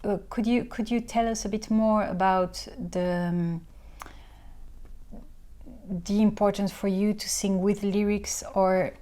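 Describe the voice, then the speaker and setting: A woman interviewer asking halting questions, with many fillers and restarts. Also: medium pitch, steady tone, mellow. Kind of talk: casual interview talk.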